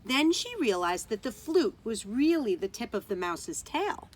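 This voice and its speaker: A woman's voice: on the high side, sing-song, measured pace. A woman teacher reading a children's story aloud outdoors, expressively, with dramatic emphasis on key words.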